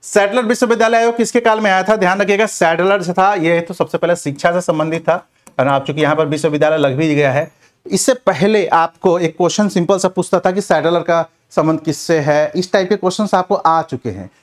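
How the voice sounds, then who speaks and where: Higher-pitched man teaching. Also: fairly quick. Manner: instructive, repeats check phrases like a classroom teacher. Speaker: exam coaching teacher. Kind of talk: rapid question-and-answer revision lecture.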